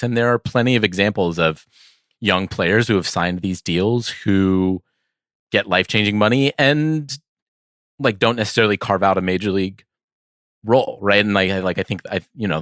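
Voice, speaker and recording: A man's voice: sits low, fairly bright tone, no echo, studio quality. A man co-hosting a casual sports podcast, reasoning things out hesitantly and often checking in with a questioning tag. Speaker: podcast co-host.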